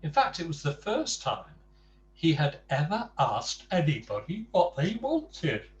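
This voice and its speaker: A man reading a children's storybook aloud in an expressive, emphatic way. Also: on the high side, unhurried, muffled recording. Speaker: bedtime story reader. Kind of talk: animated children's storybook narration with casual asides. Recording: distant mic with faint background noise.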